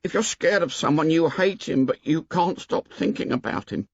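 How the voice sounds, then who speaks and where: A man voicing a fussy, anxious schoolboy who pleads in a squeaky, worried voice. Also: big pitch swings, moderate pace, creaky, no room sound, lo-fi sound, exaggerated voice. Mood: earnest. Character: anxious, fussy plump schoolboy, bossed and scared.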